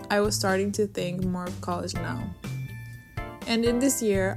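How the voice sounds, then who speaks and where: Music plays clearly behind a young woman's voice. She grew up in Venezuela with Spanish as her first language. She narrates in a personal, cheerful vlog style, at a middling pitch for a woman. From Caracas, Venezuela. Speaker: vlogger. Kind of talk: personal narration over a drawing video.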